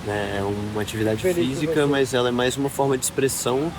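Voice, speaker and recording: A man in his thirties answers interview questions in a calm, reflective way. His voice sits in a middle pitch, and a crowd can be heard around him outdoors. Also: racing. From Minas Gerais, Brazil. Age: 30s. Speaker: interviewee, surfer.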